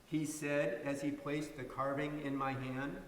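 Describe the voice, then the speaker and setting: Higher-voiced man narrating, distant and echoey. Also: level tone, measured pace. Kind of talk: dramatic story reading. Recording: echoey hall, distant mic, hiss.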